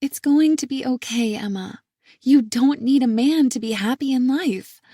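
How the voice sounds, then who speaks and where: A woman reading a gentle, pleading big sister. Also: high-pitched, unhurried, breathy edge, no echo, clean studio sound, pitched above the performer's own voice. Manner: soft, earnest and pleading. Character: worried caring adult sister, gentle and pleading. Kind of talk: audiobook character reading.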